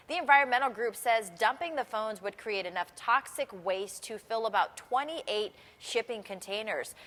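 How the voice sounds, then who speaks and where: Woman, American accent, morning TV news anchor, scripted broadcast read, mid-range pitch, long reverb. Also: fairly bright tone.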